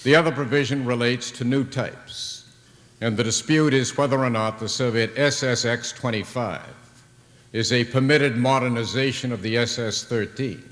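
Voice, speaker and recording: A man's voice: mid-range voice, fairly even intonation, fairly bright tone. Formal man in a reverberant hall. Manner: precise, formal and deliberate. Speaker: arms control negotiator on a panel. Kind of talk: measured expert remarks at a public forum. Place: large lecture hall. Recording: reverberant hall, 1980s recording.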